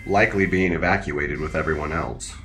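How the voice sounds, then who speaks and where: An actor playing a formal, measured, deadpan security officer in a science fiction audio drama. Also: male voice, a bass voice, faint music behind, full character voice. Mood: composed, dutiful. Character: stiff, dutiful male security officer on a spaceship. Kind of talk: scripted audio drama acting.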